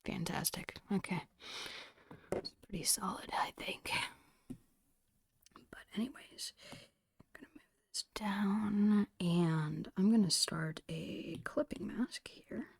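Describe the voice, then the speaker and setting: Breathy whispering woman, slow and close. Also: mid-range voice, no echo. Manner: unhurried, thinking aloud in short phrases. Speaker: digital artist narrating own painting process. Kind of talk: ASMR, whispered casual tutorial chat.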